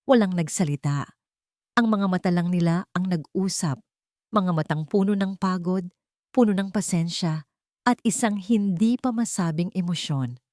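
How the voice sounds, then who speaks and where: A woman's voice: on the low side, a little mellow, dry recording, clean studio sound. A woman reading a dramatic romance story as scripted narration.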